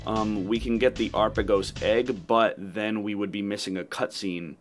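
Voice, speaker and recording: Low-voiced man commenting on a game. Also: steady tone, moderate pace, faint music behind. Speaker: gaming channel host. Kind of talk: casual playthrough commentary.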